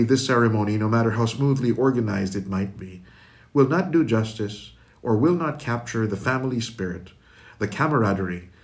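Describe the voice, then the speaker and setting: A middle-aged man, a school official, delivers a prepared commencement address in a formal, measured, eloquent manner. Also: on the low side. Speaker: school official giving closing remarks. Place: indoor room with curtains, recorded address.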